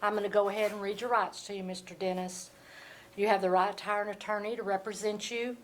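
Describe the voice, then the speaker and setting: A woman's voice: medium pitch, flat delivery, fast talker. A woman with a mid-range voice speaks as a judge, formally reciting rights and charges. She keeps to procedure and presses on persistently. Faint noise runs behind her. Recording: noisy courtroom recording.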